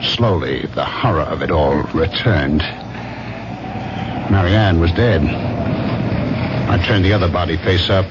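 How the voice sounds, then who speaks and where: An actor playing a brooding, haunted killer who narrates his crime haltingly in a 1970s radio drama. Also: sits low, very noisy. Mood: grim, haunted. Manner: halting, dramatic, deliberate phrasing. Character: brooding, haunted man confessing to killings. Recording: dull 1970s radio broadcast, music behind.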